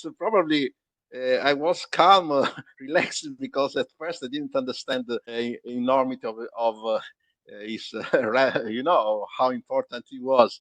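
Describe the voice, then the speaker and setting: A man from Calabria with an Italian accent, a native Italian speaker, telling anecdotes as a tour guide in an interview and often pausing to search for words. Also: medium pitch, fairly bright tone, dry recording, poor audio. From Calabria, Italy. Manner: halting, searching for words, restarting sentences.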